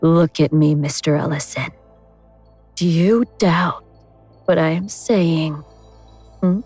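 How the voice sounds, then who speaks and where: A woman playing an ancient, powerful elder god in human guise, speaking with deliberate, imperious menace in a horror audio drama. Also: deep voice, a little mellow, breathy edge, no room sound, soft background music, exaggerated voice.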